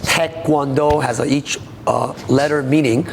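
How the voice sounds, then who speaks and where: A man from South Korea with a Korean accent, a taekwondo master speaking haltingly in an interview, with many fillers. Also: medium pitch, fairly even intonation, vocal fry, in a large hall, noisy background.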